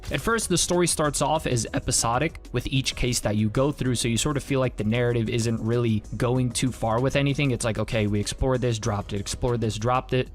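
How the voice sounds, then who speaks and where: A man narrating a scripted review in the manner of an online video creator. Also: mid-range voice. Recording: music under the voice. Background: background music.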